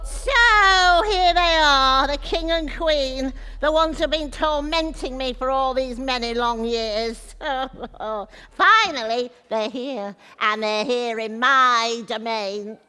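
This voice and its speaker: A woman's voice: sits high, slow, hardly any pauses, sharp tone, clear, resonant tone, exaggerated voice. A woman playing a gloating, bitter wicked witch in live pantomime, theatrical and taunting in a reverberant theatre. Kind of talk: live pantomime stage acting. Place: indoor theatre stage. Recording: echoey theatre hall, long reverb.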